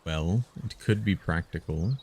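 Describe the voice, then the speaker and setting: A man live streaming a text game, musing out loud in short casual phrases and sometimes reading the game text. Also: low-pitched, a little slow, slight background noise. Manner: musing, muttered short phrases, thinking aloud.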